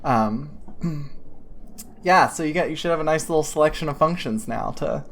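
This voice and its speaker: A woman's voice: low-pitched, normal speed, a little hiss or hum. A woman narrating as game master in a tabletop game, talking loosely with many fillers and restarts. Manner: loose, hesitant, full of fillers.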